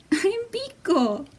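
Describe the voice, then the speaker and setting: Woman voicing a high little imaginary friend creature. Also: exaggerated voice. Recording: distant mic with faint background noise.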